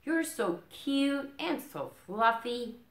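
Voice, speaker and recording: A woman's voice: on the high side, expressive, takes it slowly, roomy sound, voice pushed up. A woman voicing an affectionate, gushing woodland animal in a storybook with sugary cooing.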